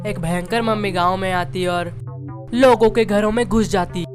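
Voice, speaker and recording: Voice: medium pitch, fairly even intonation, moderate pace. A narrator reading a scripted cartoon story. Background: background music.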